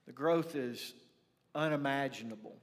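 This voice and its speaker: A man with a Texan accent preaching in a folksy, conversational way, telling personal stories with emphatic pauses. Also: mid-range voice. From rural North Texas, USA. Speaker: pastor. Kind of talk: sermon with personal storytelling. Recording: reverberant hall, church livestream.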